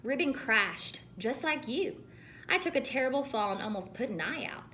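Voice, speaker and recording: A woman's voice: fairly high pitch, animated, normal speed, bright, forceful tone, slight background noise. A woman voicing a boastful, nostalgic old male snake in a storybook, reminiscing with emphasis.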